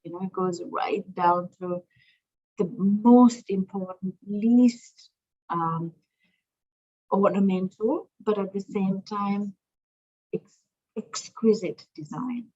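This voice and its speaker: A middle-aged woman from Sri Lanka, a museum director speaking as a guest in an interview, thoughtful and searching for words with many pauses and fillers. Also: medium pitch, warm tone. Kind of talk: interview conversation. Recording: dull lo-fi sound.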